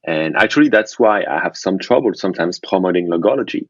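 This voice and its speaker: A man with a deep voice chats casually over a phone call. The sound is muffled and dry, with no room around it. He is candid and halting, often restarting his sentences. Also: expressive, no echo. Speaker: podcast guest, indie software founder. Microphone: remote phone call.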